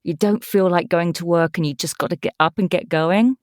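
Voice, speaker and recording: A woman's voice: fairly low pitch, fairly quick, dry recording. Middle-aged English woman, close dry sound. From East Anglia, England. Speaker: podcast guest, voice actor. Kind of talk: casual interview conversation.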